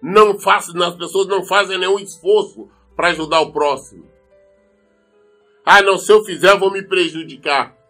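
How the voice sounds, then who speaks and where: A Brazilian man delivers a sermon in a distinctly high-pitched voice. He speaks earnestly and admonishes his listeners, repeating phrases for emphasis. Soft music plays faintly behind him. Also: moderate pace. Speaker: preacher. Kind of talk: sermon with scripture reading.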